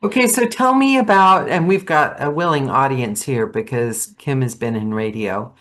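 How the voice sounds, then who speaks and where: A woman's voice: a bass voice, normal speed, fairly bright tone. A woman host asking brief, curious questions in a casual interview.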